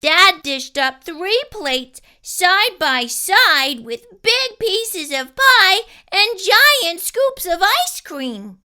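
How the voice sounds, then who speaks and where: A woman narrates a children's storybook in a high, very bright voice. Her pace is slow and deliberate, with animated, emphatic phrasing made for young listeners. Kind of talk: expressive read-aloud narration for kids.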